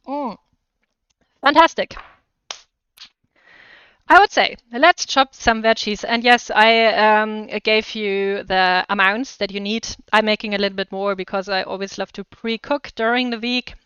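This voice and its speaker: A woman's voice: mid-range voice, fairly even intonation, a little slow, long pauses, bright, forceful tone, clean tone, no room sound. A woman with a German accent chatting casually and enthusiastically to live viewers as she cooks, in a slightly muffled recording. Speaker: cooking livestream host. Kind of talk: casual chat to live viewers while cooking.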